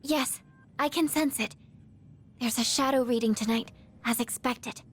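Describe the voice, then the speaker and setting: High-voiced earnest young woman. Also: a little slow, slightly rough. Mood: focused, uneasy. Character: earnest young female scout reporting enemy readings. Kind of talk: video game voice acting.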